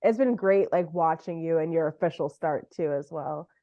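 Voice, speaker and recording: A woman's voice: low voice, normal speed. A woman hosting a casual music interview, speaking in an encouraging, affirming way. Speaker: interviewer, host. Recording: dull, lo-fi sound.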